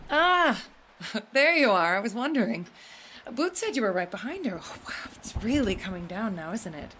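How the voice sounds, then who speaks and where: An actress playing a chatty, solicitous guest house hostess in an audio drama, speaking naturally and a little tentatively. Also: female voice, mid-range voice, big pitch swings, moderate pace, noisy background, lightly acted. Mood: welcoming, concerned. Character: hospitable, chatty woman running a guest house. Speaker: supporting actor in an audio drama.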